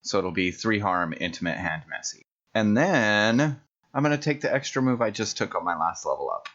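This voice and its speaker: Guy on the low side playing a tabletop rpg, casual table talk, kinda muffled lofi mic. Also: expressive, normal speed, a bit bright. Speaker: player in a tabletop roleplaying game.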